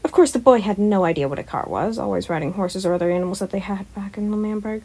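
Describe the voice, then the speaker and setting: Expressive woman reading a story aloud. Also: medium pitch, quick pace. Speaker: fan fiction narrator.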